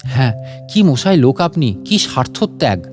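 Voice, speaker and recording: A man voicing a short, pot-bellied gentleman in a comic story, meek, over-polite and naively admiring. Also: mid-range voice, sing-song, normal speed, soft background music, natural voice. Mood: awed admiration.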